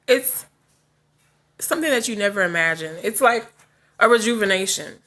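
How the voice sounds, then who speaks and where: A woman's voice: mid-range voice, animated, moderate pace, a bit bright. Woman, forties, vlogger, casual chat, frank, chatty, laughs often, just woke up. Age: 40s.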